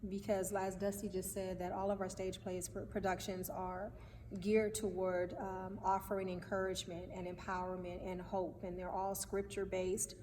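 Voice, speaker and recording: A woman's voice: mid-range voice, mellow. Female playwright interviewee, level delivery, earnest, far from the mic with faint background noise. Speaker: playwright and producer. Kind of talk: explaining her work in an interview. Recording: echoey hall, distant mic, faint noise.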